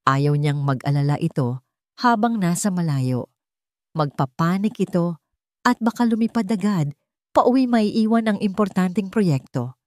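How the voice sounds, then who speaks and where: A woman's voice: lowish voice, expressive, unhurried, a little mellow, dry recording, studio quality. A woman reading dramatic scripted narration as an audiobook storyteller.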